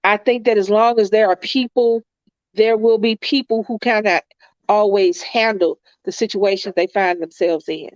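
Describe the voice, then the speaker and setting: A woman's voice: mid-range voice, steady tone, moderate pace, no echo, lo-fi sound. A congested woman co-hosting a casual, heartfelt chat, talking earnestly and reflectively and often repeating her phrases. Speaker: co-host.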